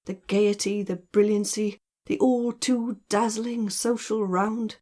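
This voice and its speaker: A woman as a mellow, theatrical, teasing gentleman. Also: mid-range voice, steady tone, slow pace. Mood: mock-serious, animated. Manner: theatrical, rhetorical, playful exclamations. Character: teasing, lazy, mock-solemn educated man with mellow laugh. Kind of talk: audiobook character dialogue.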